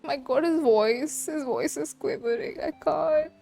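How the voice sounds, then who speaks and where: A woman giving casual live commentary on a game playthrough, halting as she thinks aloud. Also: sits high, expressive, vocal fry, mellow. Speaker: streamer reacting to a game. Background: game music.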